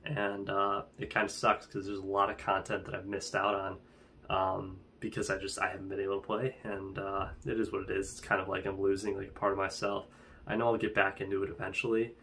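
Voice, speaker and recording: A young man chats to the camera in a loose, rambling way, leaning on fillers as he talks. His voice sits fairly low and stays level, with a faint hum of the room behind him. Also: flat delivery, brisk, bright, forceful tone. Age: young adult. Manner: chatty and rambling, heavy fillers. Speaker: fitness vlogger. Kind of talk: casual talking-head vlog. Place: small indoor room with wood-panel wall.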